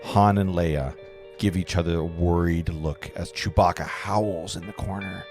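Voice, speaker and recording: A man's voice: deep voice, steady tone, a little slow, a little mellow. A man reading stage directions as narrator at a script table read, stumbling over words now and then. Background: clear guitar music.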